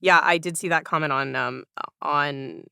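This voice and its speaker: Female podcast host, bright and very clear, conversational, tentative phrasing, dry close sound. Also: low voice, normal speed, no echo. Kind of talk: casual podcast chat.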